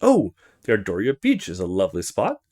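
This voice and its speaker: A man acts out an old taxi driver in a video game, friendly and a little roguish. He chats in a folksy way, eager to hand out local tips, and his pitch swings dramatically. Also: huge pitch swings, a little fast, a little mellow. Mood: helpful.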